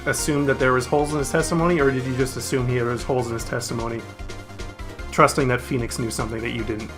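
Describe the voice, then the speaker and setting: A man's voice: medium pitch, fairly even intonation, fast. A man bantering casually as a let's play co-host, with music behind him. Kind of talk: casual, bantering gaming chat.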